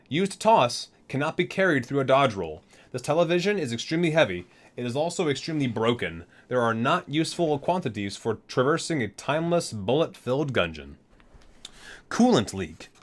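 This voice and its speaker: Expressively lilting man reading aloud for ASMR. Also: mid-range voice. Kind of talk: ASMR read-aloud of game item descriptions.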